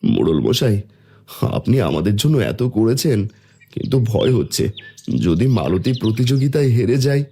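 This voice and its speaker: A man's voice: fairly low pitch, big pitch swings, moderate pace, mellow, husky, full character voice. A man playing a humble, anxious poor village husband in a cartoon fairy tale. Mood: worried.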